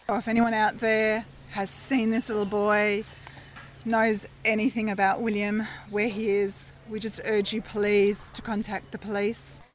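A woman speaks as a family spokesperson in a news clip with slight background noise. Her pitch stays very flat as she makes a halting, pleading appeal to the public. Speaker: family friend acting as spokesperson.

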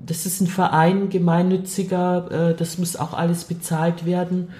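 A woman podcast guest talking about her association, enthusiastic and quick to laugh. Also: fairly low pitch, flat delivery, dark tone. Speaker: project worker.

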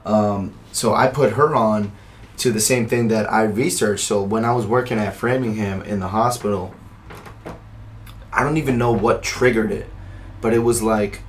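Low-voiced laid-back podcast host. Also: male voice, fairly even intonation, moderate pace, slight background noise. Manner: laid-back, affirming, explains history earnestly. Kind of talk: casual podcast chat.

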